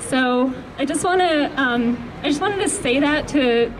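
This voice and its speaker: A woman with a soft voice giving an earnest speech at an outdoor rally, holding the microphone close, with fillers and restarts. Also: sits high, lively intonation, measured pace. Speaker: activist speaker at a rally. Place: outdoors on a city street. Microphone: handheld microphone held close. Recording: noisy outdoor street recording. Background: traffic and street noise.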